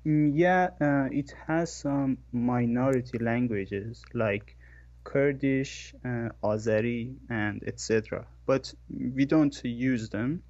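A man from Iran speaks English, answering slowly and hesitantly, with plenty of fillers as he searches for words. His medium-pitched voice sounds dry and a little dull, as if from a modest home mic. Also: a little mellow, no room sound. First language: Persian. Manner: hesitant, thinking aloud with fillers. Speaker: podcast guest, English learner. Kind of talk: interview answers.

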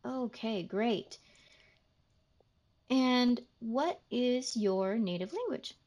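A woman hosting an English-learning podcast, speaking slowly and clearly like a teacher interviewing a learner. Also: fairly high pitch, a little mellow. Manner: slow, carefully enunciated teacher talk.

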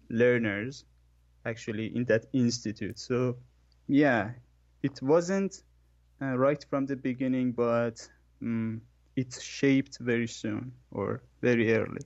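A man from Iran speaks English, answering slowly and hesitantly, with plenty of fillers as he searches for words. His medium-pitched voice sounds dry and a little dull, as if from a modest home mic. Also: a little mellow, no echo. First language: Persian. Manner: hesitant, thinking aloud with fillers. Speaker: podcast guest, English learner. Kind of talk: interview answers.

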